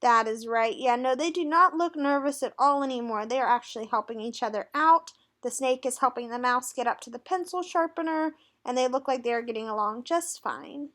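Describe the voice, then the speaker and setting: High-pitched woman reading to children. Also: brisk, few pauses, a bit bright. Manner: instructional, asking questions of young listeners. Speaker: teacher or tutor reading aloud. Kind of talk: interactive children's read-aloud.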